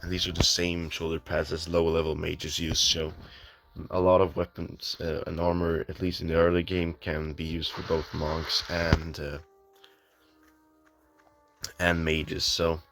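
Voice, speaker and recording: Flat, very deep-voiced man musing over gameplay. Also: unhurried, soft background music. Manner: hesitant, many ums and restarts, thinking aloud. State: voice a bit off, early morning. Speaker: gamer. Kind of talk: casual gameplay commentary. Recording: noisy home recording with clicks.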